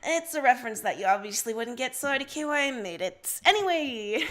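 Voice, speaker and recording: A woman's voice: high voice, expressive, a little fast, fairly bright tone. Woman voicing a teasing young human girl. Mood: casual explaining.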